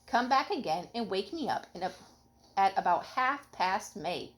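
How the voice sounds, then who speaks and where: A woman's voice: mid-range voice, lively intonation, measured pace, fairly bright tone. A woman voicing a grumpy, lazy, sleepy male toad in a children's story, grumbling and reluctant. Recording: home recording with background noise.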